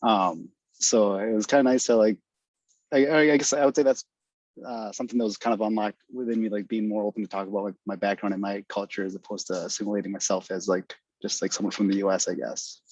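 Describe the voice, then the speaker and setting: A man's voice: on the low side, fairly even intonation, fast talker, a little mellow, no room sound. A young man from Wisconsin, born in Guatemala, answering a panel question over a video call, hesitant with many "uh" and "I guess" fillers. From United States (Wisconsin), born in Guatemala. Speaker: study abroad alumnus panelist. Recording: dull lo-fi video call audio.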